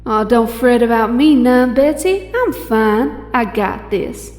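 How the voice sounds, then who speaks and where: A woman's voice: on the high side, expressive, slow pace, a little mellow. A woman playing a breezy, kind young superheroine with a Southern US accent and a folksy, easygoing manner. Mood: reassuring. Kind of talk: audio drama acting. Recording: echoey hall reverb, music underneath.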